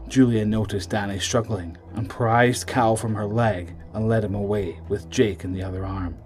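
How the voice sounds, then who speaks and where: A man narrating a scripted horror story in a measured, suspenseful way, trailing off at the ends of phrases. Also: lowish voice, fairly even intonation, a little mellow, faint music behind.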